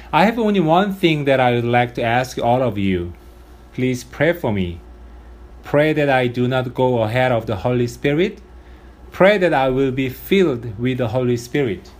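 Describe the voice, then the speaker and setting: A man with a strong Korean accent, a pastor giving an earnest, measured sermon, his first Sunday with a new congregation. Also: medium pitch, a little mellow.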